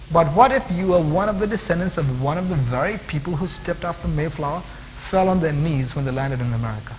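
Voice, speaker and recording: A man preaching an expository sermon with explanatory asides, emphatically repeating and stacking phrases to drive points home. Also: a little high. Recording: reverberant hall with audible hiss.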